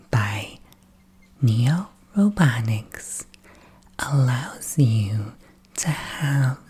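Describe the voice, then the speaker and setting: A man playing an upbeat advert narrator in a breathy ASMR whisper, with a soothing, salesy delivery. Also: darkish tone, exaggerated voice. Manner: slow, soothing salesy pitch. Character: smooth, upbeat promo video narrator selling robots.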